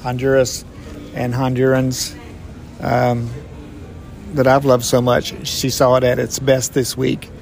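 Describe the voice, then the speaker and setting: A man's voice: mid-range voice, monotone, slow pace, creaky, pauses often. A man in his sixties, a grandfather and mission trip volunteer, answering interview questions in a reflective, heartfelt way. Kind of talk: podcast interview.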